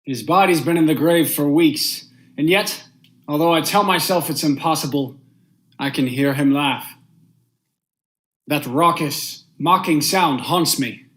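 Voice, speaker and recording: A man's voice: a little high, measured pace, a bit bright. A young man acting a stern judge and narrator in a vintage radio drama, speaking formally and with measure. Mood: brooding. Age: young adult. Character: stern, unmoved male judge, husband and brooding narrator.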